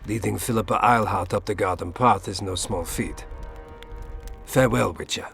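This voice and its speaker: An actor playing a courteous, scheming middle-aged Imperial ambassador in a fantasy game, formal and politely menacing. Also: male voice, lowish voice, normal speed, gravelly, fairly bright tone, soft background music. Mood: smugly menacing. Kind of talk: scripted fantasy game dialogue.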